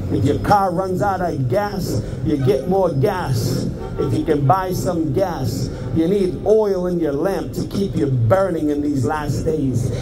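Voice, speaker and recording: A man's voice: high-pitched, rough recording. A man preaching a fervent revival sermon on the street, declaiming in repetitive, exhorting phrases. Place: outdoors on a street. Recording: loud music behind the voice.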